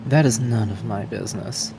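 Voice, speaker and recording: Guy playing a formal lady paladin in a tabletop game, low creaky voice, polite but insistent, music behind. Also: moderate pace. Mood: formal, earnest. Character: veiled human woman paladin, courteous, formal and insistent.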